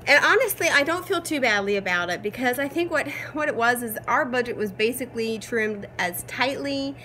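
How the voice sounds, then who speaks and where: A woman in her thirties, hoarse from a cold, chatting casually and upbeat with lots of ums as she vlogs from home. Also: fairly high pitch, lively intonation, brisk, sharp tone, slight background noise. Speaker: vlogger. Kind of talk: casual chat. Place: at home, changed rooms.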